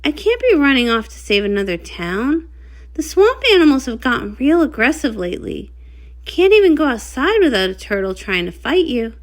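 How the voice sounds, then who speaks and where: A woman's voice: on the high side, sing-song, moderate pace. A woman voicing a confident, plain-spoken mare who heals in the swamp, with a folksy manner, in a comic read-along. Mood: weary, worried.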